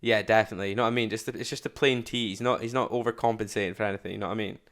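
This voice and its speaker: Man, streamer reacting, blunt slangy chat, smooth clear voice. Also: lowish voice, fairly even intonation, very fast, a bit bright. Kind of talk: casual reaction commentary.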